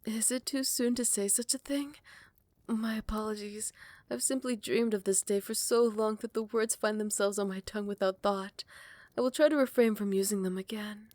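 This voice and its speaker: Woman acting a courtly, soft-spoken vampire royal, close and dry. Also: mid-range voice, fairly even intonation, dry recording, close to an everyday voice. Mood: earnest, admiring. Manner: formal, archaic, measured courtly phrasing. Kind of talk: ASMR roleplay, romantic fantasy acting. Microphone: close microphone, dry.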